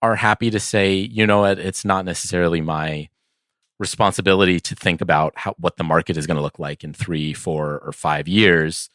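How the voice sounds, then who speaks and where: Low-voiced man reasoning through sports talk. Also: fairly even intonation, fairly bright tone, no room sound, studio quality. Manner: hesitant, measured reasoning, often checks with "right?". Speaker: podcast co-host. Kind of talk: casual sports discussion.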